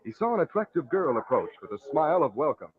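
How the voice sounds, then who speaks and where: A man's voice: a little high, very animated, fast talker. A male narrator setting the scene in the scripted style of an old-time radio drama. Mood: dramatic. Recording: tinny vintage radio recording, crowd behind.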